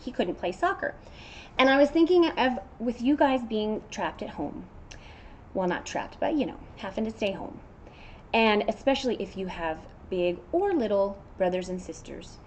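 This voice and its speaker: A woman's voice: medium pitch, a little mellow. Middle-aged American woman, noisy recording. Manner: encouraging, conversational. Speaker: elementary teacher reading aloud. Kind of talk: casual read-aloud talk to children. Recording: clear background noise.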